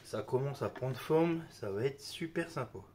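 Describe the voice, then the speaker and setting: A man's voice: medium pitch, expressive, moderate pace, heavy background noise. A man with a slight cold hosting a cooking video, talking casually as he works and peppering his speech with little interjections. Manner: chatty, filler-heavy with "hop" and "hein". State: a bit of a cold. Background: kitchen clatter of dishes and utensils.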